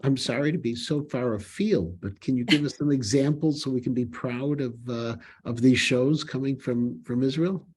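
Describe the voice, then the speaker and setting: A man's voice: medium pitch, moderate pace, hardly any pauses, darkish tone, breathy edge. A man interviewing a guest, asking polite, curious questions with some hesitant starts. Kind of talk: conversational interview.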